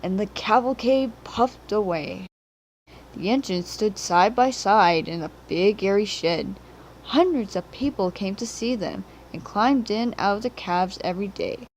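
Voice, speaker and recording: A woman's voice: medium pitch, measured pace, a little hiss or hum. A woman reading a children's story as a scripted narration, on an amateur home recording.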